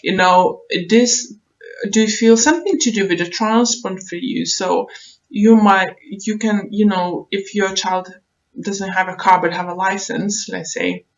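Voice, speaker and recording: Slow-paced woman giving a tarot reading. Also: mid-range voice, fairly even intonation, highs cut off. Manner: halting, repeats phrases, thinks aloud. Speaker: tarot reader, online show host. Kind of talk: unscripted tarot reading, advice to viewers.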